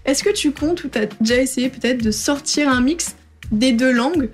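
A woman's voice: high voice, normal speed, soft background music. A woman interviewing a rapper, asking friendly questions and summing up his answers. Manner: friendly, prompting, sums up answers.

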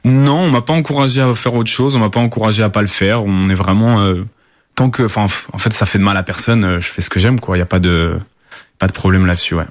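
Hesitant young man, low voice. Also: fairly even intonation, fast, no echo, studio quality. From Paris suburbs, France. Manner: hesitant, many fillers and restarts. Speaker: rapper, guest. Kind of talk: casual interview answers.

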